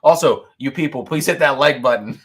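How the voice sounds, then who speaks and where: A man hosting a whiskey-tasting livestream, talking loudly and excitedly, laughing often and reading viewer comments aloud into a boom mic. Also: moderate pace, breathy edge. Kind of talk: casual live-stream chat. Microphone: boom mic moved back from mouth.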